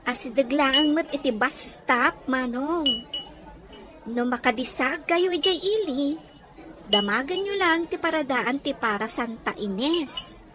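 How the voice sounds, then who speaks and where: A woman's voice: high voice, animated, slow, over music. A woman playing a helpful, gossipy young villager in a serial drama. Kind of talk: acted drama dialogue. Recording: faint background noise and ambience.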